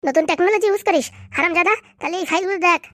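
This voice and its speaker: A woman voicing an indignant, sharp-tongued young cartoon character, scolding and accusing. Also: very high-pitched, very fast, no room sound. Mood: angry, accusing. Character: indignant, sharp-tongued young relative exposing hospital fraud. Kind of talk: fast cartoon comedy dialogue.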